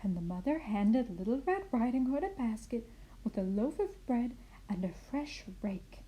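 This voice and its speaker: A woman playing a kindly, muddled grandmother in an expressive children's storybook reading. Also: on the high side, normal speed, low-quality recording. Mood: earnest storytelling. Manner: expressive storybook reading with puzzled self-corrections. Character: kindly, muddled grandmother misreading fairy tale aloud.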